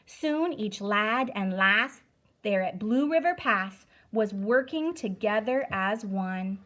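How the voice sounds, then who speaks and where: Slow, very expressive woman reading aloud. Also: mid-range voice. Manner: deliberate, emphatic, instructive. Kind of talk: expressive storybook read-aloud for children.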